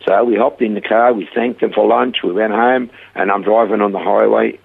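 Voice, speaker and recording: A man's voice: fairly low pitch, fairly quick, gravelly, husky. An older man from Switzerland with a Swiss accent, telling a story as a radio interview guest and acting out the quoted voices. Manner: lively storyteller who acts out the quoted voices. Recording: narrow phone-like radio sound.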